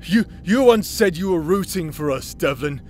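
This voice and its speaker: A fairly high-voiced drunken villain played by a man, protective and self-loathing. He stammers and swears through emotional audio drama lines, with faint music behind him. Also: expressive, moderate pace, a little mellow. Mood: agitated, pleading. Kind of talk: emotional audio roleplay acting.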